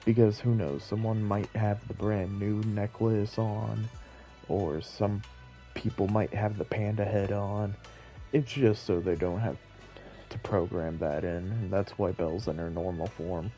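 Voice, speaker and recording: A man's voice: fairly low pitch, fairly even intonation, unhurried, dark tone. A man giving casual, rambling, self-deprecating live commentary as he streams a game, musing to viewers. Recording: game music behind voice. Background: video game music.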